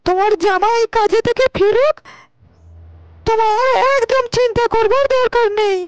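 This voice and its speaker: A woman voicing a warm, fussing young village woman in a cartoon, repeating herself eagerly. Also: very high-pitched, normal speed, noisy background. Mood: reassuring. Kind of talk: Bengali cartoon character dialogue.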